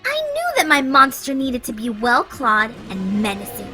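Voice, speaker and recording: A woman reading a children's picture book aloud, playful and expressive. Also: on the high side, moderate pace, soft background music. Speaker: children's story reader. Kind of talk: read-aloud storytelling.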